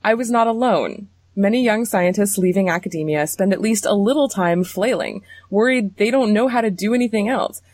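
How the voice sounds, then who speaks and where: A young woman hosting an interview, reading a scripted introduction and then asking questions in a clear, steady way. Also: mid-range voice, moderate pace, few pauses, dry recording, studio quality. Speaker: podcast host and interviewer.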